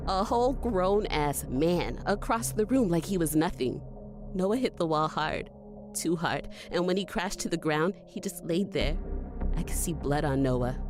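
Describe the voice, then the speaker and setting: Gutsy young heroine, lowish voice. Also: female voice, expressive, a little slow, over music. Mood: tense narration. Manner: sweary, breathless, conversational first-person storytelling. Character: gutsy, foul-mouthed young woman fighting monsters, narrating in shock. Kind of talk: horror audio drama narration.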